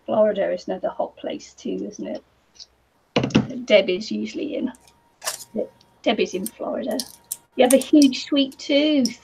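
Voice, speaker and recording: A woman with a New Zealand accent chatting casually on a live craft stream, her voice croaky today, rambling with lots of ums and little laughs. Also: a little high, lively intonation, a little slow, long pauses, background noise. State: croaky voice from the heat. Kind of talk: casual chat while crafting. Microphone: computer or camera mic with a fan nearby. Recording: slightly dull lo-fi sound.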